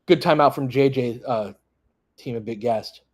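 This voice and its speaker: A man with a husky, slightly rough voice at a middling pitch hosts a casual basketball watch-along. He talks off the cuff in short bursts, mixing play-by-play with conversational asides to his listeners. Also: normal speed. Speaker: sports livestream host doing fan play-by-play. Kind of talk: casual running basketball commentary, chatting with listeners.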